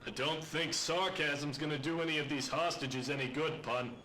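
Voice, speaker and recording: A sardonic, exasperated police captain from a radio play, played by a man. His voice is bright and forceful, with a dry, plain-spoken way of talking. Faint noise sits behind him. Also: fairly high pitch, fairly even intonation, moderate pace, low-quality recording. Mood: urgent, exasperated. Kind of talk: radio drama dialogue.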